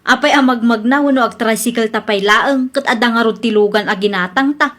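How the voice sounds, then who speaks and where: A woman's voice: a little high, brisk, fairly bright tone, close to an everyday voice. A woman playing a boastful, stubborn, cheerfully bossy wife in an Ilocano comedy radio drama, with an Ilocano Filipino accent. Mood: stubborn, impatient.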